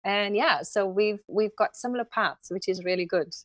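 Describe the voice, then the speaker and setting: A woman in her thirties with a South African accent, a holistic healing practitioner speaking gently and unhurriedly as a podcast guest. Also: mid-range voice, no echo, clean studio sound. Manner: gentle and unhurried, with many fillers. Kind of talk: relaxed interview conversation.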